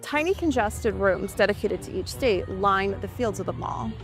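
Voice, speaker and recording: A woman's voice: mid-range voice, lively intonation, moderate pace, soft background music. A woman narrating a scripted video report with a dry, sardonic delivery.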